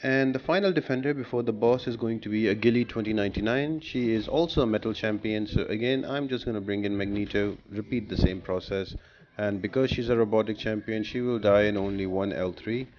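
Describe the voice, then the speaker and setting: A man's voice: fairly low pitch, a little fast, highs cut off. A man giving instructional gameplay commentary, explaining tactics step by step as a guide creator.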